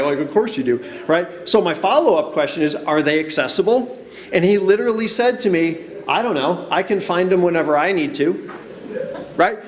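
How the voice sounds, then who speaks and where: Chatty male conference presenter, roomy hall. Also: high-pitched. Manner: chatty, keeps asking "right?". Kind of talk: casual conference talk. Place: conference room with noise next door.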